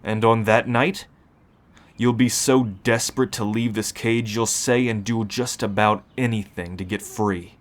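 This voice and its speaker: A man playing a seasoned, calm werewolf who explains pack ways matter-of-factly in an ASMR roleplay. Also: lowish voice, measured pace, natural voice. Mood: warning.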